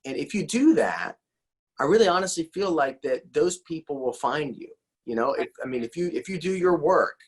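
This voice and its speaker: A man's voice: fairly high pitch, animated, normal speed, slightly rough. A man hosting a casual interview in an encouraging, enthusiastic, rambling conversational way, often repeating his words. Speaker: interview host.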